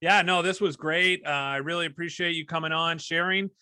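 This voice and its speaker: American man, forceful bright voice, close dry sound, conversational, encouraging podcast hosting. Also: fairly high pitch, fairly even intonation, a little fast, smooth, no room sound. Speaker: podcast host, sports broadcaster.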